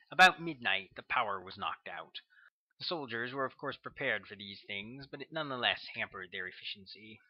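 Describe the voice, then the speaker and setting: An author reading his own novel aloud as an audiobook narrator, with steady, measured storytelling on a slightly muffled recording. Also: mid-range voice, no room sound.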